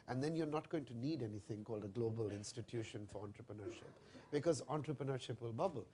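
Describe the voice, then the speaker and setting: A man answering a question on a conference panel, speaking fluently and in a measured, expansive way. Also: medium pitch, darkish tone, slightly rough. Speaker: panelist, investment firm founder. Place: conference hall.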